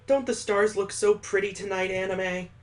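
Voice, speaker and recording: A gentle, sentimental young heroine acted out by a man, speaking in a raised pitch. The delivery is soft, earnest and dramatic, suited to a visual novel. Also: steady tone, normal speed, close to an everyday voice. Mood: tender, hopeful.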